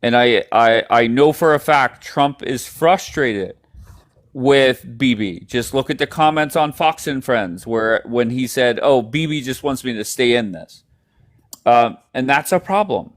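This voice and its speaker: A man hosting a heated live online debate, cutting in and steering the talk. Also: medium pitch. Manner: interrupting, rapid back-and-forth. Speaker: live stream debate host.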